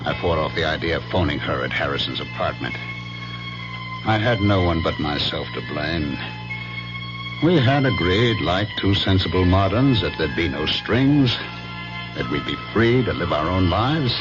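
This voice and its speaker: A very deep, brooding man narrates a radio mystery as a jealous husband, pausing heavily between phrases. His delivery swings expressively. The old broadcast sounds dull, with music clearly behind him. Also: animated. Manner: dramatic, broken phrasing with weighted pauses. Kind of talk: radio mystery drama acting, first-person narration.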